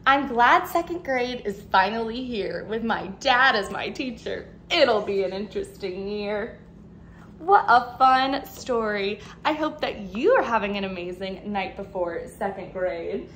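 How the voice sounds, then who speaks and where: A woman in her thirties, a teacher, reading a picture book aloud to young children in an expressive, animated way. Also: medium pitch, unhurried, slight background noise. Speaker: second grade teacher. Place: living room at home, indoors.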